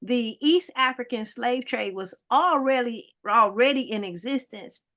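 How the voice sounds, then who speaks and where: A woman's voice: on the high side, animated, measured pace, pushed and bright. A woman, guest and foundation director, explaining history in an interview with frequent ums and tag questions. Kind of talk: interview answers.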